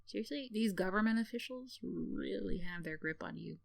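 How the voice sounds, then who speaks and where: A woman's voice: mid-range voice, normal speed, gravelly, no room sound. A woman playing a sardonic tsundere villain in a roleplay ASMR, teasing and condescending with theatrical sighs and scoffs, yet secretly caring. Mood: cynical, earnest.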